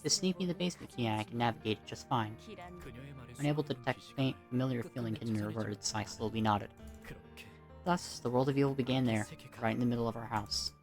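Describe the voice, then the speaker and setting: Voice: medium pitch, fast. An amateur narrator reading a scripted first-person story. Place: indoors at home. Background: music.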